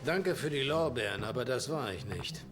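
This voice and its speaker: An actor playing a cocky, defiant American soldier with a wry manner in a war game cutscene. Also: male voice, medium pitch, expressive, normal speed, music in the background, close to an everyday voice. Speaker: video game character in cutscenes. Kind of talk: dramatic game cutscene dialogue.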